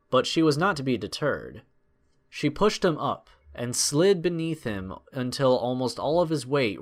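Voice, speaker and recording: Mid-pitched man narrating a dramatic story. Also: fairly bright tone.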